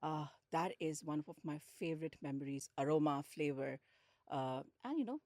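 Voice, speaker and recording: Woman, Indian accent, low pitch, conversational interview guest, dry recording. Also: expressive, normal speed, warm tone, no echo. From India, grew up in Lucknow and Hyderabad. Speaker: podcast guest, hospitality executive.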